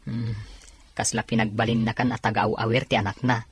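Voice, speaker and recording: A woman playing a tired, grumbling housemate in an audio drama, with a husky, raspy voice and dry manner. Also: rumbling low, level tone, a little fast, heavily acted.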